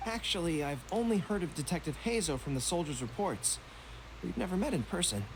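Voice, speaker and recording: A man's voice: sits high, lively intonation, fairly quick, noisy background. A man voicing a young, courteous, dutiful general in a video game, speaking formally and seriously. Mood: courteous, concerned.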